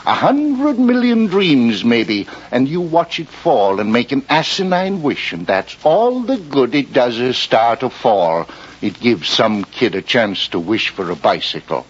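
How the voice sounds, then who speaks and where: Husky, florid old-radio drunkard. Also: male voice, mid-range voice, sing-song, measured pace. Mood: maudlin, poetic. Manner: theatrical, mock-poetic. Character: boozy, florid, philosophizing male ex-doctor, genial drunkard. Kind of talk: 1940s radio drama acting. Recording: muffled 1940s radio recording.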